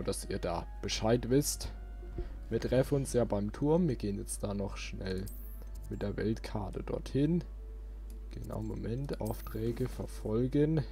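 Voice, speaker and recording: Mellow dark-voiced guy doing casual let's play talk, thinking out loud, game music behind. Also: medium pitch. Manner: rambling, thinking aloud. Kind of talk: casual gameplay commentary.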